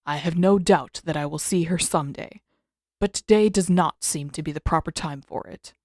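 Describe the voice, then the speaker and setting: Old male spirit guide, played by a female reader: lowered pitch, formal, grave, stern, audiobook storytelling. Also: darkish tone, no echo, studio quality. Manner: formal, solemn, measured diction.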